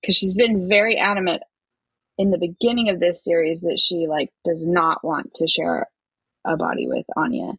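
Husky, lowish-voiced woman chatting. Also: fairly even intonation, normal speed, a bit bright, no room sound, low-quality recording. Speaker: podcast co-host. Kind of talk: casual chat.

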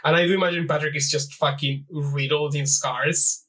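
A man's voice: fairly high pitch, fairly even intonation, normal speed, fairly bright tone. A man chatting and laughing through a tabletop game session, swearing casually.

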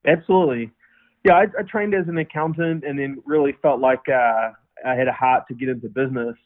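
A man's voice: medium pitch, a little fast, dry recording. New Zealand man on a tinny line. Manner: earnest, many restarts and fillers. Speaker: podcast guest, company founder. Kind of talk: casual interview answers. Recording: tinny phone-line audio.

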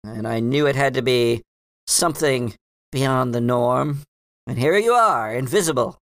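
A man's voice: medium pitch, a little slow, a bit bright, dry recording, studio quality. A man voicing a stern, suspicious female librarian in a tabletop game, imperious and indignant. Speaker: game master voicing a character.

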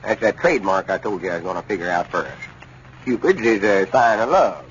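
An actor playing a proud, touchy older country storekeeper with a folksy rural Arkansas drawl in a 1930s radio comedy. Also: male voice, sits low, animated, fairly quick. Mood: defensive. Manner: folksy, self-important drawl. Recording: muffled, noisy 1930s radio recording.

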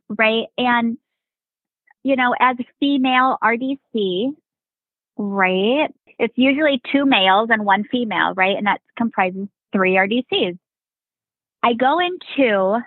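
A woman's voice: fairly high pitch, no room sound. A woman, a Navy veteran guest on a podcast, telling stories in a rambling casual way, full of "like" and "right?". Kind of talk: casual storytelling chat. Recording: tinny phone-like remote line.

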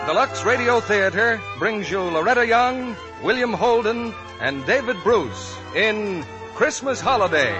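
High-pitched male announcer over loud music. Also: expressive, measured pace, hardly any pauses, dull audio. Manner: formal, declaiming. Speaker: radio announcer. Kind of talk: 1940s radio show announcing.

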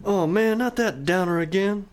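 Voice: mid-range voice, normal speed, natural voice. A performer playing a crude young American slacker in a band, drawling slang in a comic radio play. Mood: whiny.

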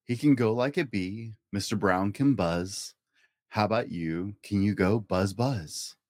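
A man reading a rhyming children's picture book aloud in a playful, expressive way, as a storytime host. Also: fairly low pitch, measured pace, no room sound, clean studio sound. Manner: playful, acts out animal and object sound effects.

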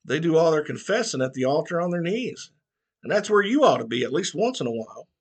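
A man's voice: fairly high pitch, very animated, fast talker, slightly rough, voice pushed up. A man voicing a stern Baptist preacher.

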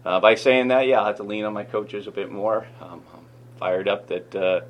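A man, a football head coach and manager, answering in an interview clip with halting speech and frequent restarts. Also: on the low side, steady tone, slight background noise. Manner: halting, many fillers and restarts.

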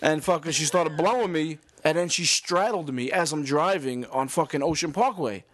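A man's voice: on the high side, normal speed, no room sound. A young man with a New York accent, rambling through frank stories in a casual chat and steering the talk.

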